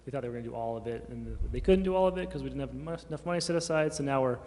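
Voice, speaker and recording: A man explaining things informally as a staff member, halting and often correcting himself. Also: mid-range voice, a little mellow. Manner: halting, self-correcting, casual. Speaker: city staff member answering council questions. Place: large indoor council chamber. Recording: reverberant council chamber, room noise.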